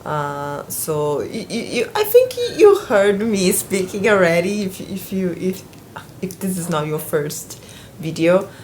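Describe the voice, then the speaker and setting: A woman's voice: on the low side, sing-song, a little slow, slight background noise. A young woman from Brazil with a Brazilian Portuguese accent, speaking English in a casual book vlog, hesitant, with many restarts. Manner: hesitant, many restarts and fillers, warm laughs between thoughts. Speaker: booktuber.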